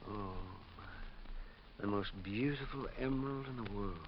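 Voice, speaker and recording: An actor playing a fussy, meek, eager eccentric in a 1950s radio detective drama, fluttering and repeating himself. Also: male voice, fairly low pitch, normal speed, lightly acted. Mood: delighted. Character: fussy, eager, dotty male eccentric collector, slyly meek. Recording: dull, noisy old radio recording.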